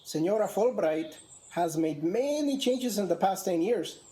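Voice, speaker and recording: This is a deferential young vineyard hand, played by a man, unsure of himself and quick to thank people. He talks politely and hesitates in a homespun radio play, with faint noise behind him. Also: high voice, animated, dark tone, poor audio. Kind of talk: community theatre radio play.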